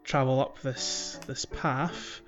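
A man's voice: mid-range voice, fairly even intonation, slow, a bit bright, muffled recording, faint music behind. A man co-hosting a casual video game podcast, chatting and explaining in a hesitant, circling way. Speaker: podcast host.

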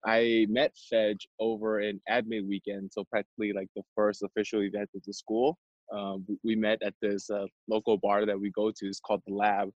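A man's voice: lowish voice, no echo, lo-fi sound. A young man from Vietnam, an MBA student guest, talking casually with lots of fillers and restarts. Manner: chatty with frequent fillers and restarts. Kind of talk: casual conversational interview.

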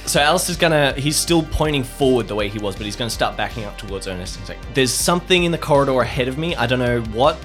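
A man's voice: medium pitch, animated, fast, hardly any pauses, a bit bright. A man playing in an improvised audio drama game, casually describing and voicing his character's moves. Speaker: player in an audio drama roleplay. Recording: clear music behind the voice. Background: music.

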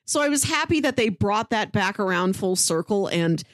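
A woman's voice: mid-range voice, lively intonation, moderate pace, dry recording, clean studio sound. A woman co-hosting a podcast, talking through a review in a casual, conversational way. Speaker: podcast co-host.

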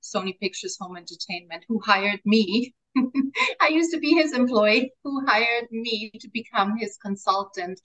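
A middle-aged woman with an Austrian German accent, a native German speaker, talking as a life coach in a casual interview, animated, laughing often and repeating words as she starts her thoughts. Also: on the high side, measured pace, rough recording.

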